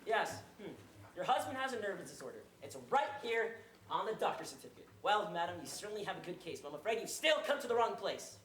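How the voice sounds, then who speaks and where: A stage performer playing an exasperated, stiffly formal male bank manager who often shouts. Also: medium pitch, very animated, fast, noisy background, natural voice. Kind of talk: stage comedy acting. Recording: echoey room.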